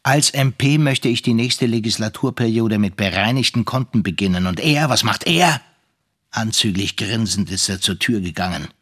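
Calculating, husky-voiced political boss. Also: male voice, on the low side, expressive, moderate pace, a bit bright. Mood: cold, scheming. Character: calculating, vengeful male state premier settling scores. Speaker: actor in a radio drama. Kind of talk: dramatic first-person monologue.

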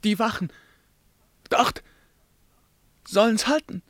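An actor playing an anguished young emperor in a 1950s radio verse drama, declaiming in a solemn, exalted way. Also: male voice, high-pitched, slow pace, a bit bright, no echo. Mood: alarmed, shouting. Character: anguished young Byzantine emperor breaking a witch's spell.

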